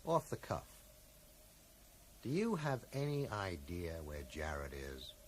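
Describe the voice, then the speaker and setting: A man's voice: sits low, very animated, takes it slowly, slight background noise, full character voice. An actor playing a blunt, suspicious man who probes insistently, in a radio drama. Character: dogged, suspicious male amateur investigator with sense of justice. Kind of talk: 1980s radio drama acting.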